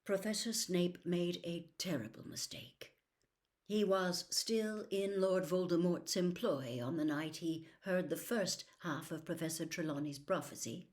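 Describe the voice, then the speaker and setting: A woman voicing a calm, stern old male wizard headmaster in a storybook reading, hushed, formal and measured. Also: lowish voice, close to an everyday voice. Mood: grave regret. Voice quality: quiet, hushed.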